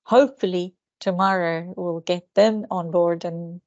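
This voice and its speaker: A woman's voice: lowish voice, steady tone, measured pace, no room sound, muffled recording. A woman with a Turkish accent, a seminar speaker answering questions in an academic discussion, hesitant with many fillers and restarts. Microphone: video call.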